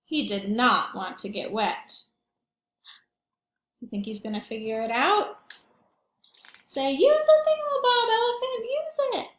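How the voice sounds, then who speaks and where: A woman's voice: sits high, animated, a little slow, pauses often, roomy sound. A woman reading picture books aloud to young children, expressively and with questions for her listeners. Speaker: children's read-aloud host, teacher or librarian.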